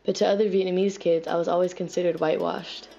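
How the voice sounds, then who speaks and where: Young American woman, mellow and dark tone, earnest scripted narration, muffled lo-fi recording. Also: fairly low pitch, fairly even intonation, fast talker, creaky. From United States. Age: young adult. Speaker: student narrator of a personal essay. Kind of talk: scripted personal narration, reflective. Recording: dull lo-fi voice-over recording. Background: music playing faintly behind the voice.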